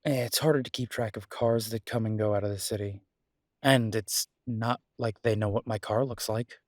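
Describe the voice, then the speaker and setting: A man playing a devoted, stubborn, protective werewolf detective in an intimate audio roleplay, speaking earnestly and insistently with emphatic pauses. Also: on the low side, steady tone, dry recording, studio quality, close to an everyday voice. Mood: calm, planning. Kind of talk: dramatic roleplay audio acting, intimate one-sided dialogue.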